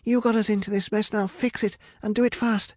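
Man voicing a high, scolding elder sister. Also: steady tone, normal speed, mellow, husky, no room sound, studio quality. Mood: insistent. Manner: scolding, insistent. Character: scolding, cautious elder sister, young magical woman.

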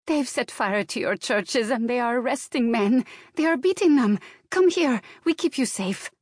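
Woman acting a frantic high-voiced Bulgarian maid. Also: expressive, a little mellow, no echo, studio quality. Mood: urgent, pleading. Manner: rushed, urgent, broken English. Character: frantic Bulgarian maid, excitable, urgent, protective. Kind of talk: audiobook character dialogue.

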